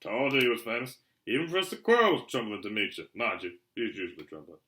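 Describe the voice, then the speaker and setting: A man voicing a huge, kindly, gruff storybook guide who talks in blunt, clipped, colloquial phrases. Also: lowish voice, animated, fast talker, bright, forceful tone, slight background noise. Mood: breezy, matter-of-fact.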